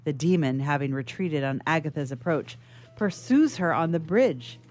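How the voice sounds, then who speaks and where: A woman's voice: low voice, lively intonation, normal speed, a little mellow, faint music behind. A woman reading stage directions as the narrator of a radio theatre melodrama, in a scripted dramatic style.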